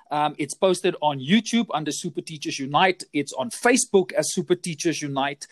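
A man's voice: on the high side, dry recording. A South African man whose first language is Afrikaans, talking as a podcast guest, chatty and often repeating words as he restarts. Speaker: guest, teacher trainer. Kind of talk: casual podcast interview.

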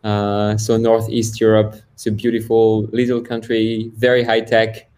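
A somewhat low-voiced man in his thirties, from France, talks as a guest over a video call. He speaks casually and hesitantly, circling back with fillers and restarts as he tells his story. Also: completely flat delivery, a little slow, darkish tone. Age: 30s. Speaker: guest, software founder. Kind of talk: casual interview talk.

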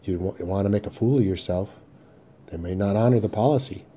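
A man playing a measured, skeptical older confidant with a deliberate, formal way of speaking. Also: fairly low pitch, warm tone, muffled recording, natural voice.

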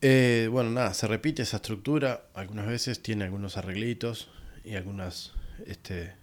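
A man hosting a solo podcast, casually reviewing an album and often pausing to find his words. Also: fairly low pitch. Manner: relaxed and rambling, many pauses to find words.

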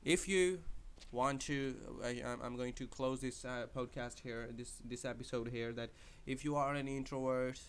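Hesitant non-native English man, smooth voice. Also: mid-range voice, steady tone, normal speed, hardly any pauses. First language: not English. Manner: hesitant, many fillers and restarts. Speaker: podcast host. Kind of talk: casual spoken advice monologue.

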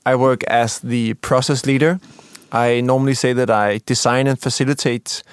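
Young guy on a podcast, calm explainer, dry humor, mid pitch, no room sound. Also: normal speed, clean tone, no room sound. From Denmark. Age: young adult. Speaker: podcast co-host. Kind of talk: casual podcast chat.

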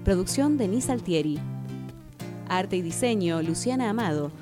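A woman's voice: medium pitch, animated, moderate pace. A woman announcer reading a scripted radio show intro. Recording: voice over clear guitar music. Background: guitar music.

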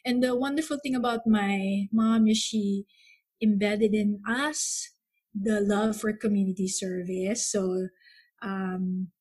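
Slow-speaking woman host. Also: medium pitch, steady tone, a little mellow, slightly rough. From Philippines. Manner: thoughtful, many pauses and ums. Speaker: podcast host; psychotherapist. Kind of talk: casual podcast chat.

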